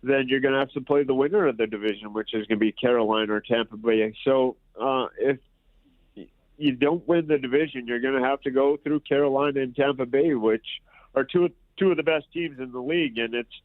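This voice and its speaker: A man's voice: medium pitch, fairly quick, no echo. A man guest-analyzing hockey on the show, stuffed up and nasal, rambling and restarting his phrases. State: stuffed-up nose. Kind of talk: casual sports talk over the phone. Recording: tinny, dry phone line.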